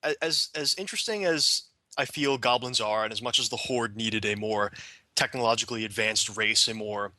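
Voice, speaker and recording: A man's voice: fairly low pitch, normal speed, bright, forceful tone, no room sound. A man speaking enthusiastically in a casual podcast discussion as one of the panelists.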